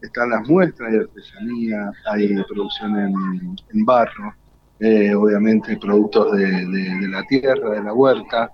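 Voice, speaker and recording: A man's voice: on the low side, steady tone, measured pace, dull audio, crowd noise. A man with an Argentine accent presenting live on radio as a co-host, unscripted and often repeating his words.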